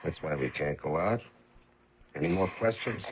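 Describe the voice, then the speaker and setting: An actor playing a cold, domineering professional killer in a radio drama, curt and bullying in manner. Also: male voice, sits low, normal speed. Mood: menacing. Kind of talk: 1980 radio drama acting. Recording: muffled, noisy old radio broadcast.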